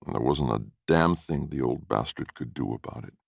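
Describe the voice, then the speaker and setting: A man's voice: moderate pace, no room sound, clean studio sound. A man giving a dramatic first-person reading of a short story. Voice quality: very deep, gravelly.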